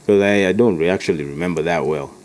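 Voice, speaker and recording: Low mellow-voiced man with an American accent. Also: fast. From Kenya. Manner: laid-back, loose and rambling with frequent restarts and laughs. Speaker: rapper guest. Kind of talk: casual interview chat.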